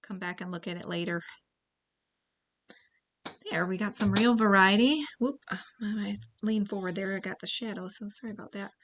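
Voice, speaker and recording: A woman leading a relaxed paint-along tutorial, chatting and thinking aloud as she paints, and now and then clearing her throat. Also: medium pitch, normal speed, a little hiss or hum. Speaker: painting instructor.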